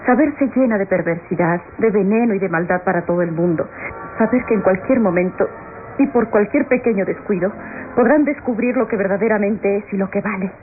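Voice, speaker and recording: An actress with a Latin American accent playing an anguished, gentle, pious young woman in a classic radionovela, speaking with measured, melodramatic sorrow. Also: medium pitch, slight background noise, close to an everyday voice. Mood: pious, resigned. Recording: tinny old radio drama recording. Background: dramatic music.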